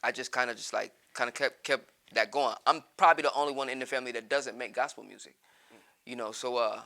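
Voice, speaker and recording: A man's voice: medium pitch, quick pace, creaky, sharp tone. A man from Columbus, Ohio, chatting easily as a guest and laughing often. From Columbus, Ohio, born in Texas. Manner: laid-back, laughs often, says "you know what I mean". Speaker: guest rapper. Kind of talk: casual interview chat.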